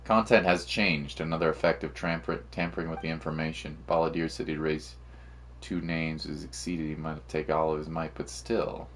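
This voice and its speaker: A man's voice: a bass voice, fairly even intonation, moderate pace, a bit bright, slight background noise. A man streaming a game, chatting casually in a rambling, teasing, slangy way. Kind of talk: casual chat while playing.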